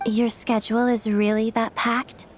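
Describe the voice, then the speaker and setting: A woman playing a poised, reserved and frank young ballet star in a video game cutscene, speaking in a measured, understated way. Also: a little high, little pitch movement, a little hiss or hum, close to an everyday voice. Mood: calm.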